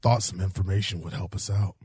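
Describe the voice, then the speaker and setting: A man playing a cynical, self-serving hard-boiled police detective in an audio drama, defensive and pragmatic, with a rough voice. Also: low-pitched, steady tone, brisk, a little mellow, no echo, studio quality. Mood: pragmatic, weary.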